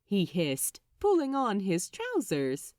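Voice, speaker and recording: A woman reading fiction aloud as an expressive narrator. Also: mid-range voice, slow, dry recording, clean studio sound.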